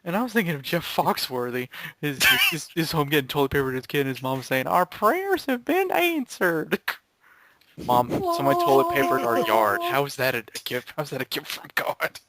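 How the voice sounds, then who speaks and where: Goofy joking man, slightly higher voice. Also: huge pitch swings, moderate pace, a loud crowd. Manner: jokey, goofy, incredulous. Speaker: member of a gaming video group. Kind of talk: casual chat, joking banter.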